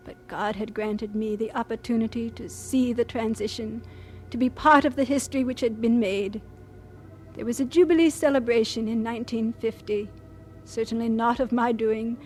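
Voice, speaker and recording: Actress as an elderly, devout, higher-voiced missionary woman. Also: a little mellow, natural voice. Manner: measured, earnest, reverent. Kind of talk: radio drama first-person narration.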